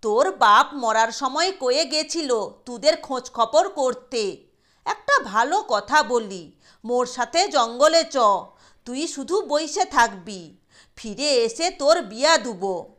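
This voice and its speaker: A crafty rustic honey gatherer voiced by a woman in an audio story, speaking high and unhurried. He coaxes in village dialect with a show of false kindness. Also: expressive, fairly bright tone, exaggerated voice. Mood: coaxing. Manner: coaxing rural dialect talk.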